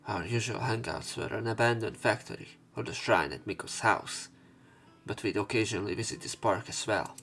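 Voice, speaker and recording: A man doing a let's play, reading visual novel narration and dialogue aloud, somewhat haltingly, correcting himself as he goes. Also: lowish voice, fairly bright tone. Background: game music.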